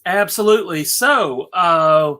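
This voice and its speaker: A woman's voice: fairly low pitch, animated, takes it slowly, bright, forceful tone. A middle-aged woman moderating a casual panel discussion, talking in a chatty, informal way. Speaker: panel host.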